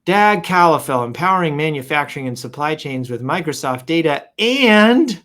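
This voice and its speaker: A man's voice: fairly high pitch, lively intonation, normal speed, a bit bright. A man hosting a show, casually reading posts aloud and improvising commentary with playful announcer flourishes and wry asides.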